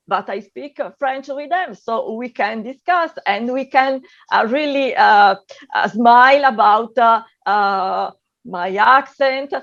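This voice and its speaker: A woman with an Italian accent speaks on a video call, pausing often and filling gaps with hesitant sounds. Her voice is fairly high and the audio is a little muffled. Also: a bit bright. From Italy. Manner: hesitant, many filler sounds. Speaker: panel guest. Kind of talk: video panel discussion.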